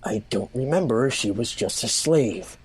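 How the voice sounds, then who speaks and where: A man voicing Shadow, a stern, terse male cartoon hedgehog, in a gruff hushed manner for an amateur fan fiction reading. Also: mid-range voice, animated, measured pace, pitched below the performer's own voice. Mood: stern, impatient.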